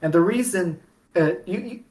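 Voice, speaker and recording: A woman hosting a podcast in a casual fan-discussion style, with halting delivery that often repeats and restarts phrases. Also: on the low side, darkish tone. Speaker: podcast host.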